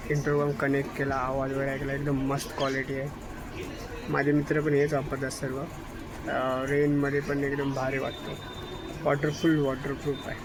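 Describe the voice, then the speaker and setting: A man's voice: mid-range voice, poor audio. A man talking casually as a motorcycle vlogger. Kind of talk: casual vlog narration.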